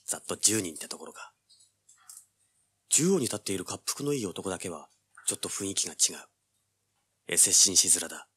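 A man's voice: deep voice, sing-song, brisk, pauses often, bright, forceful tone, slightly rough, dry recording, heavily acted. An actor playing a cocky, cool-headed young treasure hunter who narrates his own hard-boiled adventure in an audio drama. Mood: tense, alert. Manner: hard-boiled, self-assured.

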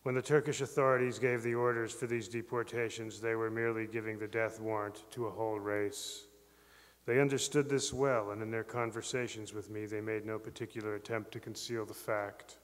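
A middle-aged man reads a historical account aloud at a podium in a grave, even manner. His voice is low and flat. It echoes through a large hall. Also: fairly quick. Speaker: reader at a literary memorial. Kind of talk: reading a historical text aloud. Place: large ornate library hall. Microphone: podium mic on a stand. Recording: long hall reverb.

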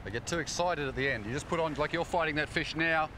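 A man's voice: a little high, quick pace. A middle-aged man, a fishing guide, giving practical, encouraging advice on a beach. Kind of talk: casual chat. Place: outdoors on a sandy beach by the sea. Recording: outdoor wind and water noise.

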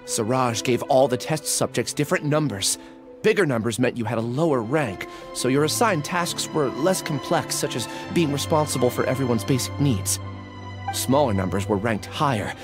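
An earnest young scholar speaks in a mid-pitched, apologetic voice, as an actor plays him. Game music sits clearly behind him. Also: male voice, expressive, normal speed, lightly acted.

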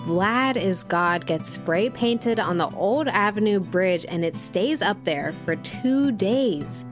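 A woman playing a thoughtful music documentary host, narrating a scripted story in a measured way. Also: medium pitch.

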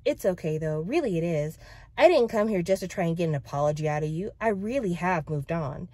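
A woman's voice: on the low side, expressive, fairly quick, a little mellow, dry recording. A woman voicing a resilient, brashly confident young female pop idol in a book read-aloud, frank with some jokey bravado. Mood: reassuring.

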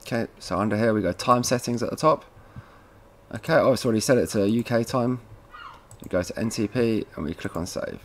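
A man presenting a casual, informal step-by-step software tutorial, talking to viewers like mates as he works at a computer. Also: on the low side, a little fast, a little hiss or hum. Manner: informal, addresses viewers as guys.

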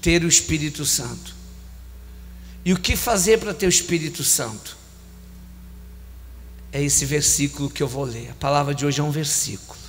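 Emphatic Brazilian preacher in echoing hall. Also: male voice, on the high side, faint music behind. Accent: Brazilian Portuguese. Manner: repetitive emphatic preaching, slows for key phrases. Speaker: bishop preaching. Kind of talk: sermon. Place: large church hall. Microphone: handheld mic. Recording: long church hall reverb, faint hum.

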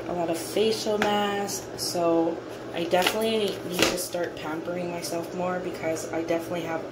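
A woman's voice: lowish voice, very noisy. A woman chatting casually as she shows off her freshly cleaned bathroom at home, upbeat and repeating herself. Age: adult with a son. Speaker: cleaning vlogger. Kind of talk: casual show-and-tell vlog. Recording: music playing behind her voice.

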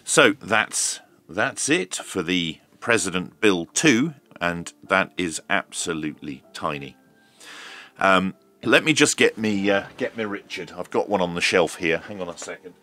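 Low-voiced man reviewing gear, bright tone. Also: animated, a little slow. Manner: informal, hands-on running commentary. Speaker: host of a radio review channel. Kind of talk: casual unboxing and product review narration.

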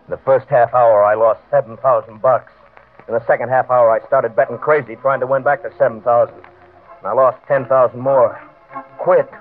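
A man's voice: mid-range voice, fairly even intonation, normal speed, natural voice. An actor playing a desperate, nervous small-time gambler telling of his downfall in colloquial slang, in an old-time radio crime drama. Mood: brooding. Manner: colloquial, tough-guy slang, stammering under pressure. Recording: vintage radio, narrow band, faint music.

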